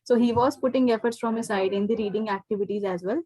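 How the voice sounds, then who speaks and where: Woman, Indian accent, teacher, slightly high pitch, husky edge, dry close sound, explanatory, frequent check-in tags. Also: steady tone, fast, warm tone, no room sound, poor audio. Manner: frequent "okay" and "right?" check-ins. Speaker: teacher giving feedback to a parent. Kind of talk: explanatory talk on a video call. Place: indoors, online class video call.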